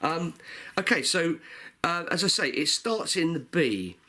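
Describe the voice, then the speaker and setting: A man's voice: a little high, big pitch swings, unhurried, bright, forceful tone. Stuffy-nosed older man teaching guitar. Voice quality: nasal. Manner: calls out chords while playing, half singing. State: stuffy nose from a cold. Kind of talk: casual music lesson. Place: living room at home. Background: cigar box guitar playing.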